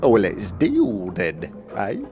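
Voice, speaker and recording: A man's voice: on the low side, huge pitch swings, slow pace, warm tone, a little hiss or hum, full character voice. A man voicing a short, suspicious, wheezy fantasy shopkeeper who keeps tagging his questions with "eh". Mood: probing, wary. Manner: wary, tags questions with "eh". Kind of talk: fantasy audio drama.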